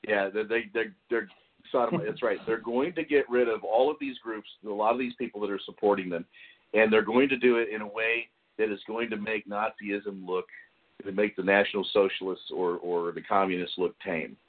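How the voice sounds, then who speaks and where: Male podcast host, lowish voice, hesitant delivery with many restarts, tinny call-line sound. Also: fairly even intonation. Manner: halting, repeats words often. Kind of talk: casual podcast discussion. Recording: tinny phone-like call audio.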